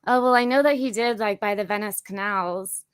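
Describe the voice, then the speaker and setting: A woman's voice: mid-range voice, moderate pace, smooth, dry recording, clean studio sound. A woman hosting a casual livestream, asking her guests questions and reading chat comments aloud. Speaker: livestream host.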